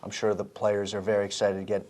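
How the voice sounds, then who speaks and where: Casting note: male sports-interview host, slightly low voice, friendly and chatty. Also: completely flat delivery, fast talker, darkish tone, slight background noise. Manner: upbeat, conversational questions.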